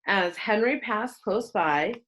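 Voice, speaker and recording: A woman reading a children's story aloud as narrator, expressive and with dramatic pauses. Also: medium pitch, rough recording. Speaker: storybook reader.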